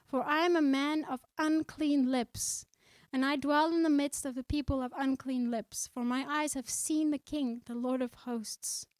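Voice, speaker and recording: A woman from South Africa preaching a sermon in the Netherlands. She speaks earnestly and conversationally, slowing down when she reads scripture aloud. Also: high-pitched, steady tone, no echo. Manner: conversational, with fillers and restarts.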